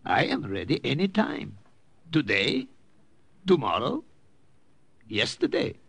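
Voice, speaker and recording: Earnest little professor, creaky animated voice. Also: male voice, medium pitch, dry recording, full character voice. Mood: eager. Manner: formal, precise, deliberate phrasing. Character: small bearded professor, earnest escaped political prisoner. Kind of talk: 1950s radio drama acting.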